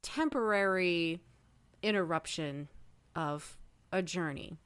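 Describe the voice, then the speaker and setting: A woman with a smooth, slightly low voice talks through her ideas at a slow pace. She is thoughtful and speculative, like a panelist on a casual fan podcast. The recording is close and dry. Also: a bit bright, no room sound.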